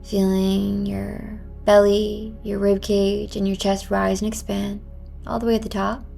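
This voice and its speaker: Female yoga instructor, gentle and encouraging, mid-pitched with noticeable vocal fry, music underneath. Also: flat delivery, a little slow. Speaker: yoga teacher. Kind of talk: guided yoga class with meditative cues.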